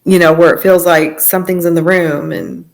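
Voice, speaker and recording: Low-pitched woman sharing personal testimony. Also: steady tone, moderate pace, vocal fry. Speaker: podcast guest sharing testimony.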